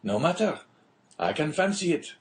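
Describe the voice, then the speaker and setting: A man voicing a jovial, high-pitched prison inspector. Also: sing-song, moderate pace. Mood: amused. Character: jovial, talkative French prison inspector in high good humour. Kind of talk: audiobook character dialogue.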